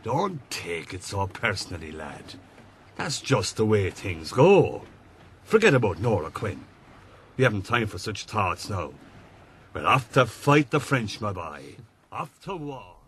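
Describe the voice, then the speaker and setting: An actor playing an older, avuncular Irish army captain, blunt and worldly, in a radio drama. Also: male voice, lowish voice, big pitch swings, a little slow, fairly bright tone, slight background noise. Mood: wry.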